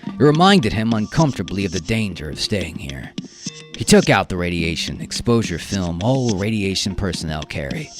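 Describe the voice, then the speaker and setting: Male storyteller, lowish voice, highly expressive, theatrical pauses, radio drama narration over guitar music.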